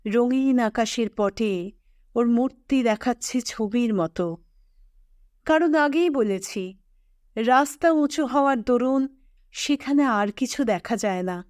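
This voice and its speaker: A woman narrating a literary audio story in a measured, expressive storytelling manner. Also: a little high, no echo, clean studio sound.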